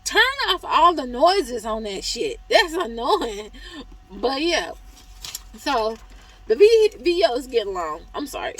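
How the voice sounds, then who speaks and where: A woman vlogging as a truck driver, hoarse from talking, venting in a chatty, exasperated way about her job. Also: fairly high pitch, sing-song, slow pace, poor audio. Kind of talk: casual vlog review.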